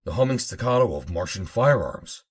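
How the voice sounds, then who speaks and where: A man reading an adventure novel aloud as an audiobook narrator, in a measured, formal storytelling manner. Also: sits low, expressive, breathy edge. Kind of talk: dramatic scripted narration of an old adventure novel.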